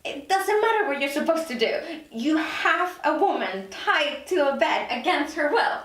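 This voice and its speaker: A woman's voice: high-pitched, sing-song, normal speed, a bit bright. A woman playing a manipulative, frantic captive woman in her late thirties at a dramatic table read. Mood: indignant. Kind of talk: dramatic script reading. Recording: roomy, distant mic.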